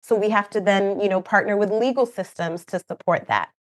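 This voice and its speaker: A woman's voice: mid-range voice, brisk. Kenyan woman explaining earnestly. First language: not English. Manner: earnest, explanatory, with light laughs and asides. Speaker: program manager, guest expert. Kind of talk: podcast interview answer.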